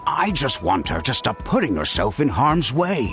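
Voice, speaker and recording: A man playing a gentle, worried middle-aged father in a video game, halting and earnest with grief. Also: mid-range voice, sing-song, music in the background. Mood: pleading, gentle.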